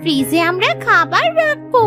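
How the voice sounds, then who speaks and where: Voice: very high-pitched, big pitch swings, normal speed. A performer voicing an eager, determined little village girl in a children's cartoon, with music behind.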